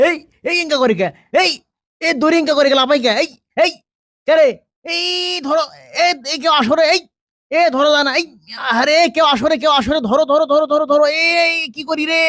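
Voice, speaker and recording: A man's voice: very high voice, normal speed, fairly bright tone, dry recording, studio quality. A man with a northern Bangladeshi accent voicing a bumbling, chattering hangman in a comic game, through a voice changer. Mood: panicked. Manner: chattering, exclaiming, repetitive. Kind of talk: comic dubbed game roleplay in dialect.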